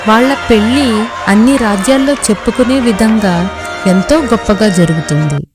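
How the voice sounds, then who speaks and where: A woman reading scripted children's story narration as the storyteller. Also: mid-range voice.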